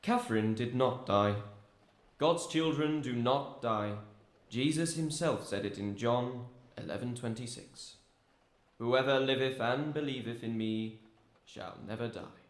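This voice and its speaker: A solemn vicar acted by a man in a strongly echoing space. His voice is mid-pitched and measured, delivering a funeral sermon in a radio drama. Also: close to an everyday voice. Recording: echoey, reverberant church-like sound.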